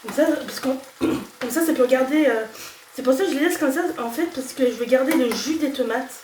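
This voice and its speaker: A young woman with a cold, talking in a voice on the higher side as she cooks at home. Her speech is hesitant and chatty, full of fillers and restarts. She sounds set back from the mic in an echoey kitchen. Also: brisk, far from the mic, background noise. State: has a cold. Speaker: cooking vlogger. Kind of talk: casual cooking video. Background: sizzling pan and stirring.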